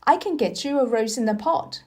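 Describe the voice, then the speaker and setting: A woman's voice: a little high, animated, moderate pace, a little mellow, voice pushed up, lightly acted. A woman reading a children's storybook, voicing a blunt but loyal, tender-hearted old gardener with emphatic delivery. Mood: fond, loyal.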